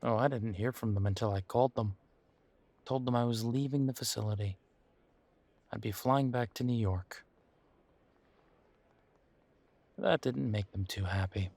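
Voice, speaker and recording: A man's voice: medium pitch, normal speed, vocal fry, darkish tone, dry recording. A man playing a bitter, wounded werewolf confiding in his partner in an intimate audio roleplay, with a sardonic, hesitant, conversational delivery. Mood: bitter, weary.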